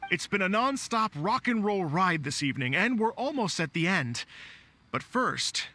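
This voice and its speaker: Upbeat, very bright-voiced rock musician. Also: male voice, high voice, slight background noise, heavily acted. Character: upbeat easygoing male rock musician and festival organiser. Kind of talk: video game voice acting.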